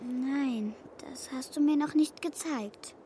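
A woman's voice: sits high, slow pace, mellow, a little hiss or hum. A female performer playing an earnest, matter-of-fact schoolgirl granddaughter in a children's radio play. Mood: modest, frank. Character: earnest young schoolgirl, bright and eager granddaughter.

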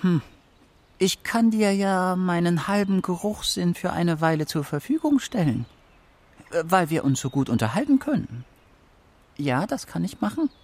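A man playing a proud, wary talking forest fox in a children's radio play, husky and musing. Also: sits high, animated, a little slow, full character voice. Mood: bargaining.

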